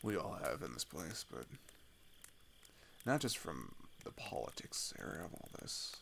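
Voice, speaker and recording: Male actor as open-hearted teen runaway climber, ASMR roleplay. Lively intonation, halting and confiding, gravelly, some background noise. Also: extremely low pitch, heavily acted. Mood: subdued, wounded. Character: open-hearted teenage male climber fleeing across a border, weary but caring. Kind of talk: ASMR roleplay audio drama.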